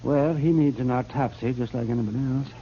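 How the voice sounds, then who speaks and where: An actor playing a brisk, fussy, ghoulish town doctor in animated 1950s radio western style. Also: male voice, mid-range voice, a little hiss or hum, lightly acted. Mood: excited, businesslike. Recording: muffled old radio with crowd murmur.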